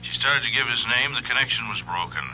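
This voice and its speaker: A man's voice: medium pitch, lively intonation, fast talker, pushed and bright. An actor playing a businesslike, weary male homicide cop in an old radio drama, speaking in terse, matter-of-fact phrases. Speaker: police detective. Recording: muffled, hissy old radio recording.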